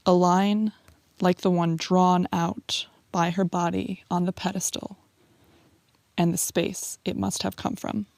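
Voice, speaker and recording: A woman, an amateur poet, introducing and reading her work in a measured style. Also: on the low side, flat delivery, creaky, darkish tone, no echo.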